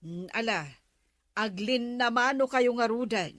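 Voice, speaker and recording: Actress as slow, drawling village woman. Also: fairly high pitch, fairly bright tone. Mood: bantering. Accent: Ilocano. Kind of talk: Ilocano radio comedy drama acting.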